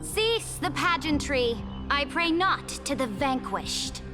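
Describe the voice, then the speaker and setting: An actress playing a cold, commanding, defiant young empress in a game, with curt, regal, archaic phrasing. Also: female voice, high-pitched, expressive, takes it slowly, music in the background. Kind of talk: scripted game dialogue.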